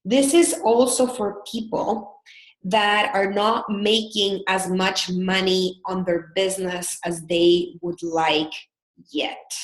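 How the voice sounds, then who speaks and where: A woman's voice: medium pitch, slow, roomy sound, poor audio. A woman from El Salvador with a Salvadoran Spanish accent, a business coach giving an earnest, upbeat live webinar with frequent filler words.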